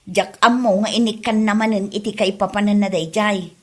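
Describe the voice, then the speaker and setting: A woman's voice: medium pitch, fairly even intonation, moderate pace, lightly acted. A woman playing a nosy gossiping villager in an Ilocano comedy drama, chatty and exclaiming, with an Ilocano accent. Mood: gossipy.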